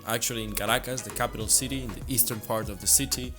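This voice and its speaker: Venezuelan-accented man chatting relaxed. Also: medium pitch, over music. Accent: Venezuelan Spanish. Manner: relaxed, laughs easily, trailing pauses. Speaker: guest entrepreneur. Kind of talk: casual interview.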